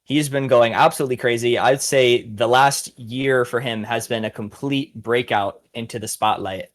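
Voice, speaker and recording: A man's voice: mid-range voice, steady tone, normal speed. A man hosting a podcast, giving casual, informal commentary and sounding enthusiastic about competitors. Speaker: podcast host.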